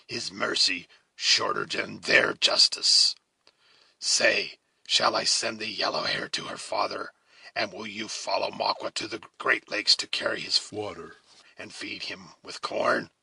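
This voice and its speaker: A man voicing a fierce, malign Huron warrior in a storybook reading, slow, menacing and mocking. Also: on the low side, fairly even intonation, gravelly, pushed and bright, breathy edge, no room sound, heavily acted. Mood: vengeful. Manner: slow, menacing, ironic taunting.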